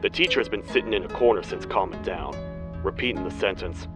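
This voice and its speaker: A man voicing a stern, weary cartoon toad sheriff in a video game, with a grave, formal, deliberate delivery. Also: lowish voice, over music, natural voice. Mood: solemn, matter-of-fact. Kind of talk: video game character acting, log narration.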